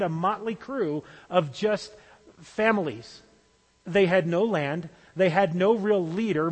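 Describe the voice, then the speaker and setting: A male pastor gives a relaxed, conversational sermon, sometimes restarting his phrases. His voice sits high for a man. Long reverb from the sanctuary trails behind each phrase.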